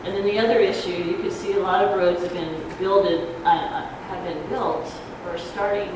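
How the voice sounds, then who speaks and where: A soft-voiced woman giving an informal explanatory talk at a public forum, speaking in a halting, hesitant way. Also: on the low side, steady tone. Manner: halting, hesitant, loses her thread. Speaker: ballot measure proponent, debate speaker. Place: community grange hall. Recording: echoey hall, distant noisy microphone.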